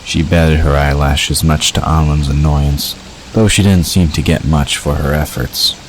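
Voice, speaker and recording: A man's voice: rumbling low, fairly even intonation, measured pace, a little hiss or hum, low-quality recording. A man reading scripted story narration as the narrator of an audio drama.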